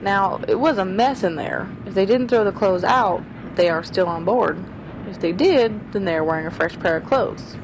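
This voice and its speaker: A woman voicing a sharp young schoolteacher sleuth in an old-time style radio drama, reasoning her way through a murder. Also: medium pitch, moderate pace, close to an everyday voice. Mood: tense. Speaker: voice actor. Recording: noisy radio drama recording.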